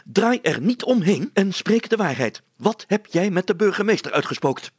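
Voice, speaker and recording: Bossy, irascible schoolmaster for a children's story, male reader; high-strung pitch, rough husky edge. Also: big pitch swings, normal speed, dry recording, heavily acted. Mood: furious. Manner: blustering, stern, demanding. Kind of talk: dramatized storybook reading.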